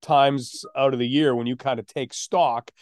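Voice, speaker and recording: A man's voice: mid-range voice, steady tone, normal speed, darkish tone, dry recording, clean studio sound. A man hosting a sports talk podcast, analysing casually and emphatically, often asking and answering his own questions. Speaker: sports podcast host and play-by-play announcer.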